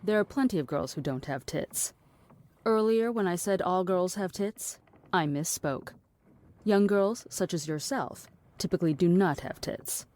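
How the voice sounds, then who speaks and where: A woman playing a cynical, blunt philosophiser in an audio drama, deadpan, wordy and dismissive. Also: fairly low pitch, a little slow, lightly acted. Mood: deadpan lecturing.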